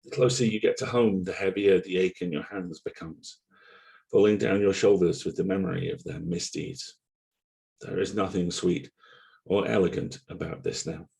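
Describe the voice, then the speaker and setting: A deep-voiced, haunted narrator, acted by a man, tells a dark audio drama in measured, literary prose. The voice is very low with a creaky, gravelly edge, solemn and brooding throughout. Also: steady tone. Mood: somber, brooding. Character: brooding, guilt-haunted male narrator addressing a killer. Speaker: voice actor at a table read.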